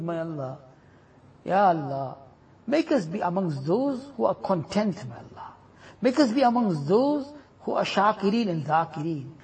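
A man leading a congregation in an impassioned supplication prayer, pleading and closing each plea with a soft trailing refrain. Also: sits high, husky.

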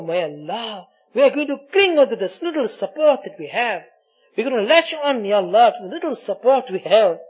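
A man leading an impassioned Islamic supplication, pleading and repeating his invocations, swinging between hushed murmurs and loud cries. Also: high-pitched, slightly rough. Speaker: religious leader leading a supplication. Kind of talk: impassioned spoken Islamic prayer. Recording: muffled, dull lo-fi audio.

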